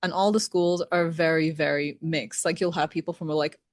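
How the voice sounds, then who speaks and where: A woman who grew up between several countries talks thoughtfully over a video call. Her voice sits lowish, and the sound is dry and close. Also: fairly even intonation, moderate pace, no room sound. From Pakistan, United Kingdom and Saudi Arabia. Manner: thoughtful, with light laughs and fillers. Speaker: co-founder of a travel company, guest. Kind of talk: casual video-call conversation.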